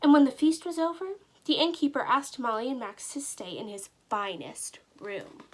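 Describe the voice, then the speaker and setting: Woman, high pitch, children's story narration, expressive, deliberate read-aloud. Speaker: teacher reading aloud.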